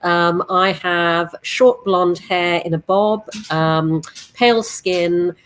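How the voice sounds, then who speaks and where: A woman in her sixties, originally from England, introducing her company on a video-call panel in a measured, emphatic way. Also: on the low side, steady tone, a bit bright, poor audio. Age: 60s. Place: apartment living area.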